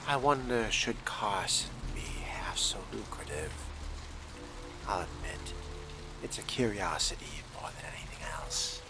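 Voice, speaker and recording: A man voicing a suave, courtly vampire fledgling in game roleplay, with a breathy, hushed voice and formal, genteel wording. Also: low-pitched, animated, a little slow, whispered, over music, exaggerated voice. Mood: smooth, scheming. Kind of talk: in-character game roleplay narration.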